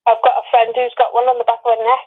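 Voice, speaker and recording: English woman caller, thin phone sound, slightly high voice, short casual answers. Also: monotone, very fast. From Wirral, England. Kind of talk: casual chat, short replies. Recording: tinny, narrow phone line.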